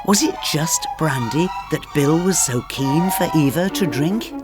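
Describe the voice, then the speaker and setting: A woman's voice: deep voice, lively intonation, a little slow. A woman hosting a radio programme, reading a scripted narration and sign-off. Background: music.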